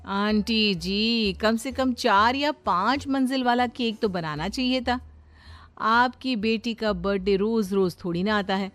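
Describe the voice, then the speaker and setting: A woman's voice: medium pitch, measured pace, fairly bright tone, natural voice. A woman voicing a boastful, chatty young daughter-in-law who exaggerates airily in a cartoon moral story. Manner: bragging, dismissive, exaggerating. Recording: music behind the voice.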